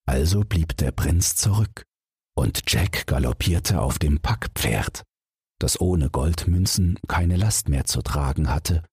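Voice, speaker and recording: A man's voice: a bass voice, a little mellow, husky, dry recording, studio quality. A man reading a bedtime fairy tale as an audiobook narrator.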